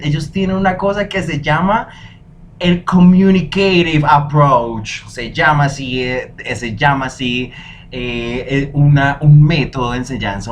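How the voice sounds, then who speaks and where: A young man hosting a solo podcast, talking in a lively, emphatic way and dropping in foreign phrases. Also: fairly high pitch, slight background noise. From Colombia. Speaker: podcast host.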